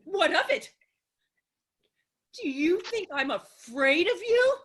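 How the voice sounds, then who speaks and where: A woman's voice: very high-pitched, very animated, slow, fairly bright tone, heavily acted. A woman playing a fiery, haughty widow in a theatrical staged reading of a farce, melodramatic and imperious. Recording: home recording over video call.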